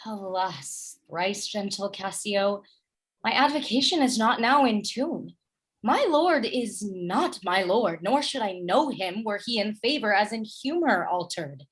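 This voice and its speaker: A woman playing an earnest, distressed young noblewoman and loyal wife in a Shakespearean radio play, with a husky voice. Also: medium pitch, animated, a little slow. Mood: troubled.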